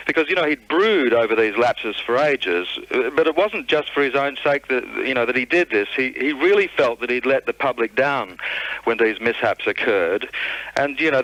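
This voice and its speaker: A man's voice: medium pitch, fairly bright tone, no room sound, highs cut off. An Australian man giving an earnest, emphatic radio tribute, feeling his way with plenty of "you know". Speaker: guest, former colleague paying tribute.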